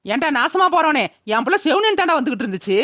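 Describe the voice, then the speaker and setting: A woman voicing a sharp-tongued village mother in a cartoon, brash and scolding, with a rural Tamil Nadu accent. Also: high voice, sing-song, rapid-fire, dry recording, studio quality. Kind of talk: cartoon village drama voice-over.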